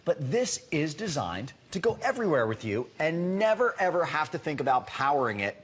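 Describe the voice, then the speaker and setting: A man's voice: a little high, big pitch swings, brisk, slightly rough. A man giving a live home shopping demonstration as a product expert, explaining conversationally and emphatically with repeated words for stress.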